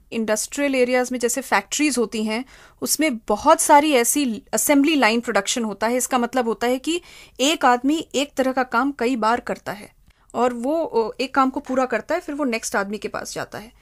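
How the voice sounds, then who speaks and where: High-voiced woman explaining in an interview. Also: moderate pace, dry recording, studio quality. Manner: explanatory, spells out terms with examples. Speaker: human resource consultant, interview guest.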